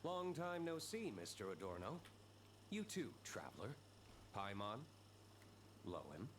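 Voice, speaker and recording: Stern aloof game nobleman, low voice, clipped, noisy audio. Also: unhurried. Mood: cold. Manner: cool, clipped, formal. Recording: game audio with steady noise.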